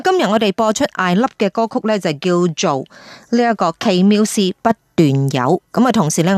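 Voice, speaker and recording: A woman's voice: fairly low pitch, darkish tone, no echo, clean studio sound. A woman with a Hong Kong Cantonese accent presenting a radio programme as its host.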